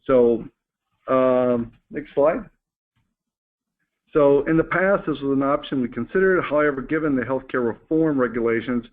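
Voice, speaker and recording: Man presenting over a narrow phone line. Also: medium pitch. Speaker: company executive hosting a staff call. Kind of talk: informal business presentation, reading and answering staff questions. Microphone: conference call phone line.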